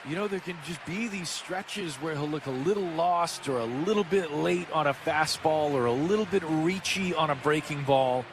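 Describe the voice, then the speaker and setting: A man's voice: on the high side, normal speed, few pauses. A man giving conversational, slangy analysis as color commentator on a live baseball radio broadcast. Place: stadium broadcast booth. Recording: noisy stadium broadcast with crowd. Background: crowd and ballpark noise.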